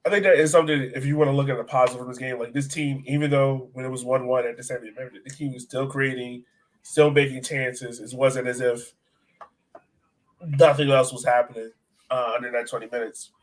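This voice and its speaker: A man co-hosting a soccer fan podcast, talking in a casual, excitable way. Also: mid-range voice, brisk, low-quality recording. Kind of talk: casual sports podcast chat.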